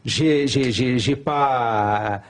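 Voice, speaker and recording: A man whose first language is Arabic, speaking as a guest in a televised debate, halting, with many pauses and restarts. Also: mid-range voice.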